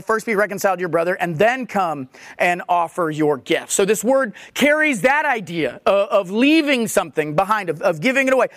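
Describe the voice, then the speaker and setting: Casting: teaching preacher, medium pitch, dry close-miked sound, repeats and rephrases key words, restarts often. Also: a bit bright, no echo. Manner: explains word meanings with repeated restatements and frequent restarts. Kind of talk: expository sermon on scripture.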